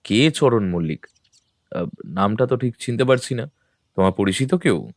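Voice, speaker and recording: A man playing a composed, observant detective in an audio drama, talking in a measured, thoughtful, familiar way. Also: lowish voice, animated, no echo. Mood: curious.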